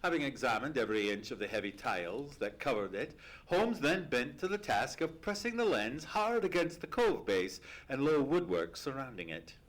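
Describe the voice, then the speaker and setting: A man playing an earnest, easily astonished gentleman sidekick who narrates an old-time radio play in a formal storytelling manner. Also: medium pitch, very animated, normal speed. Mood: measured storytelling. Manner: formal storytelling, exclaiming in surprise. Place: live theatre stage performance.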